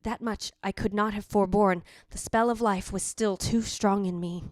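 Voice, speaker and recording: A woman's voice: mid-range voice, fairly even intonation, moderate pace, no room sound, lightly acted. A woman playing the yearning, possessive ghost of a dead wife, narrating formally in old-time radio drama style. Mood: longing. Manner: formal, literary, theatrical delivery.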